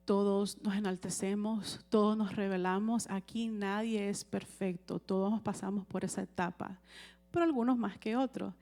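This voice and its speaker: A woman preacher, delivering a conversational sermon at an ordinary mid pitch. She keeps checking in with the congregation and calls for amens as she goes. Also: steady tone, normal speed, darkish tone.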